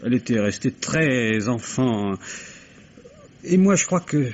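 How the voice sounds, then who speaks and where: An actor playing a defensive, emotional male nurse who answers haltingly and turns touchy, in an old radio mystery. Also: medium pitch, animated. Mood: agitated, earnest. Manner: halting, emotional, flaring into indignation. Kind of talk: 1950s French radio mystery acting. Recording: dull, noisy vintage radio recording.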